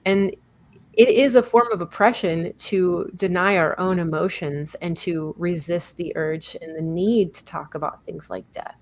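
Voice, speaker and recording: A woman's voice: on the low side, measured pace. A woman hosting a live online talk show, earnest and explanatory, introducing her co-host and guest over a video call. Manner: earnest, explanatory, with some fillers and self-corrections.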